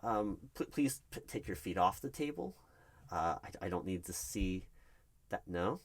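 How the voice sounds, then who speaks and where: A man's voice: low voice, lively intonation, a little slow, heavily acted. A man playing a nervous, flustered recruiting manager in an interview roleplay, stammering and awkwardly polite. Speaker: roleplay performer. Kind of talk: one-sided interview roleplay.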